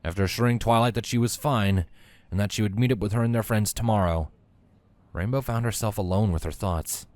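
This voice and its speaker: A man with a fairly low voice narrates a piece of fan fiction. He reads from a script as a storyteller. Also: fairly quick, darkish tone, no echo.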